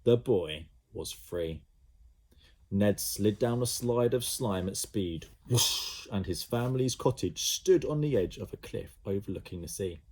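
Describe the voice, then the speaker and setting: A male primary school teacher reading a children's story aloud, animated and full of sound effects. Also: lowish voice, a little slow, husky.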